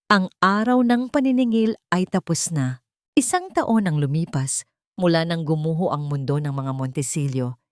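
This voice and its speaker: Isang babaeng may puntong Pilipino na nagsasalaysay ng madramang kuwentong nakasulat. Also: female voice, on the low side, a little mellow, dry recording, clean studio sound. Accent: Filipino. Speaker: narrator. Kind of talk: scripted dramatic story narration.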